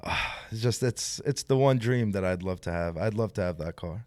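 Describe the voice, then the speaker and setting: A man chatting casually about cars, animated and full of filler words. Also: fairly low pitch, normal speed, no room sound, studio quality. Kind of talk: casual car chat.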